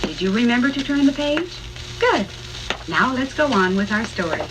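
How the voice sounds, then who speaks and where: A woman's voice: on the high side, sing-song, moderate pace. A woman narrating a children's read-along story in a scripted storyteller style, on a hissy old vinyl record.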